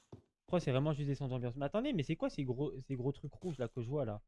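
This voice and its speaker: A man's voice: medium pitch, expressive, fast, mellow, a little hiss or hum. A man giving casual, slangy gameplay commentary, chatting to his viewers and often repeating himself. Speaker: gaming YouTuber.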